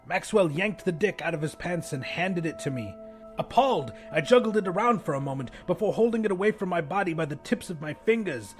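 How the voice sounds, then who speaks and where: Male audiobook narrator, fairly high voice, scripted first-person storytelling, soft music bed. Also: animated, a little fast.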